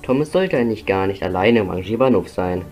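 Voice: sits low, lively intonation, fast, a little mellow. A performer narrating a children's cartoon fan dub as a storyteller, in scripted past-tense sentences. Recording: music under the narration.

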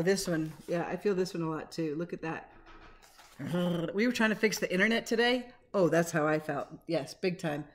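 A middle-aged woman, a teacher, doing an expressive, interactive picture-book read-aloud with chatty asides to the children. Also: medium pitch. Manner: expressive, dramatic when reading, conversational asides to the children. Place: small living room at home, indoors. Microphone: webcam or laptop at arm's length.

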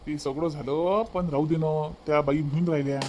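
A man's voice: fairly high pitch, steady tone, brisk, darkish tone, slight background noise, lightly acted. A man playing a worried village family man in a comedy cartoon, emphatic and repeating phrases, with a Varhadi Marathi accent. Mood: cautious. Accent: Varhadi Marathi, Vidarbha. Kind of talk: comedy cartoon dialogue in rural dialect.

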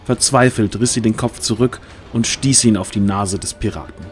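A man's voice: on the low side, moderate pace, soft background music. A man reading scripted, dramatic fantasy narration as the narrator of an audio drama.

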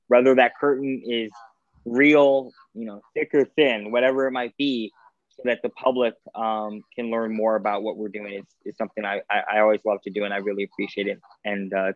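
A man's voice: fairly low pitch, lo-fi sound. A man, a state senator, speaking earnestly and at length in a virtual town hall. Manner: earnest, self-aware, rambling. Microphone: video call.

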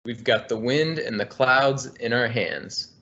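Um homem de uns trinta anos, engenheiro ambiental, lendo um livro infantil em voz alta, de forma amigável e cadenciada, numa chamada de vídeo. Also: male voice, mid-range voice, measured pace, sharp tone. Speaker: environmental engineer. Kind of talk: reading a children's book aloud. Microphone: laptop webcam on video call. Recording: muffled video call audio.

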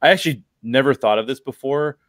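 A man's voice: mid-range voice, quick pace, a bit bright, no echo. Male podcast host, forties, casual and emphatic explainer, close mic, dry sound. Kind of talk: casual film discussion. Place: bedroom at home. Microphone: large microphone close to the mouth.

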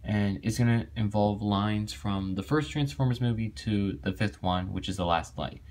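A man's voice: lowish voice, moderate pace, darkish tone. A man hosting an amateur voice-acting video, talking casually to his viewers. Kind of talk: casual intro and sign-off to viewers.

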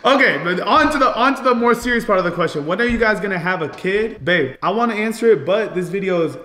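Man in his late twenties, animated, high-pitched, slangy, repetitive, casual vlog banter, reverberant room. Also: fast. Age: late 20s. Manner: animated, excitable, slangy, repeats himself. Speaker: vlog host. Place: indoor kitchen bar area at home.

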